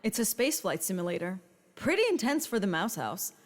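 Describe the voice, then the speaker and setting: A woman's voice: mid-range voice, sing-song, brisk, in a large hall. A woman playing a blunt, sarcastic spy teammate with a dry, snarky manner in a comedy audio drama. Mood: skeptical. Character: sarcastic, blunt female spy teammate with tech know-how.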